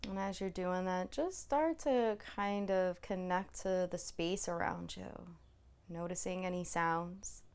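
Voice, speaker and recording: A woman with a lowish, smooth voice that settles into a noticeable creak guides a body scan meditation. She is soothing and unhurried, leaving long pauses between phrases. Her manner is gently permissive and reassuring. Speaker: meditation instructor.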